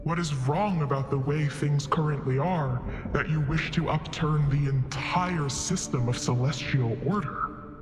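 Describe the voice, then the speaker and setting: A man portrays an ancient, benevolent deity of a fantasy tale. The voice is fairly high for a man, grave and stately, with very unhurried delivery. It rings in a long reverb over music. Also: natural voice. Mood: solemn questioning. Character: ancient, solemn, benevolent god weighing cosmic order. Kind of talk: fantasy audio roleplay.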